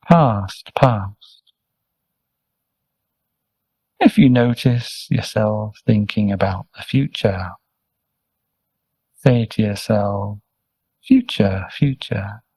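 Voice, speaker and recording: A man guides a meditation at a very slow, unhurried pace. His voice is mellow and relaxed, with a gravelly fry at its edges. He gives gentle, soothing step-by-step instructions and leaves space between phrases. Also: mid-range voice, dry recording, rough recording. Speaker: meditation teacher, Buddhist monk.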